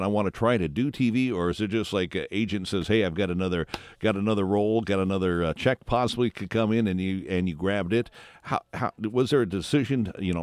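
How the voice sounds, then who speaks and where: A man's voice: fairly low pitch, darkish tone, dry recording, clean studio sound. A middle-aged man hosting a morning radio show, rambling and stumbling through questions. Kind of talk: radio interview chat. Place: radio studio.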